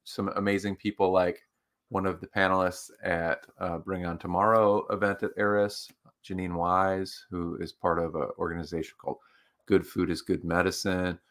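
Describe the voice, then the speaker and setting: A man's voice: sits low, level tone, normal speed, fairly bright tone. A man co-hosting a livestream talk show, chatting casually and rambling with lots of fillers. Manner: rambling, filler-heavy, upbeat. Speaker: co-host.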